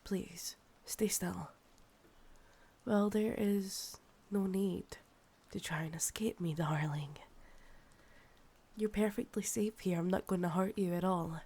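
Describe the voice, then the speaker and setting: Casting: actress playing a Scottish vampiress thousands of years old, possessive yet soothing, unhurried, low gravelly murmur and whispers for ASMR roleplay. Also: female voice, mellow, exaggerated voice. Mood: coaxing. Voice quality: breathy, often dropping to a whisper. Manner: slow, coaxing, sighing. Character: ancient insatiable female vampire, possessive, soothing and sickly.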